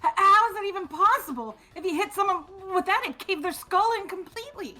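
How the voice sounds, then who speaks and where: A woman's voice: very high-pitched, lively intonation, fairly quick, pushed and bright, soft background music, heavily acted. A woman voicing a stammering, haughty, venomous young woman with an obsessive devotion to her master, in a fan-voiced game. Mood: disdainful. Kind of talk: fan-voiced visual novel game acting.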